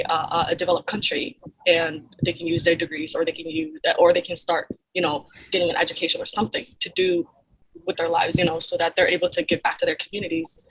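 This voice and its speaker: Husky young woman, rambling with fillers. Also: on the low side, fairly bright tone, poor audio. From Kenya. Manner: rambling, heavy fillers like "like" and "you know". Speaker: nonprofit founder, guest. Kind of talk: casual interview answers.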